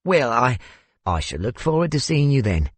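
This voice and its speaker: A man voicing a courteous, wistful older gentleman with a South African accent and a theatrical, hesitant manner in an audiobook. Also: mid-range voice, big pitch swings, moderate pace, husky, no room sound, voice pushed up. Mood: eager. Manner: theatrical, hesitant, old-fashioned politeness.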